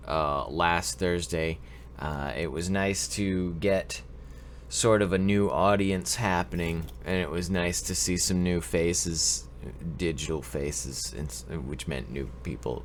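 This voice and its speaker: A man's voice: sits low, a little hiss or hum. A man with a stuffy cold hosting a casual live stream, rambling and joking as he greets chat viewers by name. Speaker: livestream advice show host.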